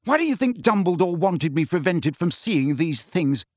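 An angry teenage wizard boy shouts his friends down, defiant and urgent, as a male audiobook reader puts him on. The voice lifts high and swings wildly with each outburst. It sounds close and dry. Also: very animated, normal speed, no echo, exaggerated voice. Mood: furious.

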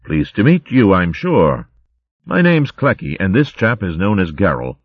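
A man's voice: sits low, very animated, measured pace, no room sound, dull audio, voice pushed up, heavily acted. A man voicing a pompous greedy male hare. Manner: blustering upper-class slang.

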